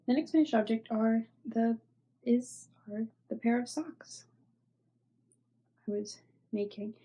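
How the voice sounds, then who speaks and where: Young woman, knitting podcast host, stuffy with a cold; husky, mellow, rambling, fades to near-whispers. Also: on the high side, slow pace. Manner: rambling, filler-heavy, trailing off into soft near-whispers. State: stuffy, congested. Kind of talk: casual show-and-tell chat.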